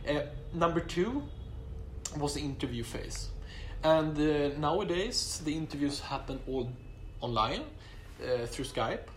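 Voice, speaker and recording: A man answers interview questions in English, which he learned as his third language. He speaks in a measured, hesitant way, with frequent fillers and restarts. There is a faint hum of background noise. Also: fairly high pitch, low-quality recording. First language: not English; English is his third language. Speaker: interviewee, Oxford applicant.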